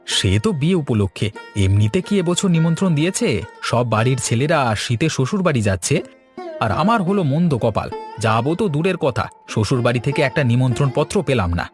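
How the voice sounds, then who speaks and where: A thrifty middle-aged village husband, played by a man in a cartoon folk tale. His voice is medium in pitch with a grumbling manner, over faint music. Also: very animated, normal speed, close to an everyday voice. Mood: mocking, grumbling. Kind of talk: animated moral story dialogue.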